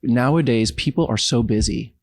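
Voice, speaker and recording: A man's voice: mid-range voice, normal speed, no echo. A man from Los Angeles with an American accent, talking casually and reflectively as an interview guest. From Los Angeles, United States.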